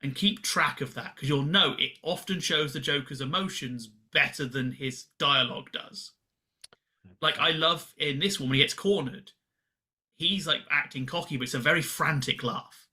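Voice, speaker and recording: A man's voice: fairly high pitch, sharp tone. A man co-hosting a podcast, giving casual explanatory commentary and speaking directly to listeners. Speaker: podcast co-host.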